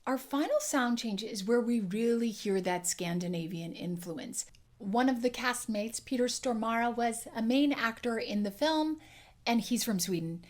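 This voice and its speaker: A woman dialect coach teaching an accent lesson, explaining clearly and demonstrating sounds. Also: fairly high pitch, lively intonation, unhurried.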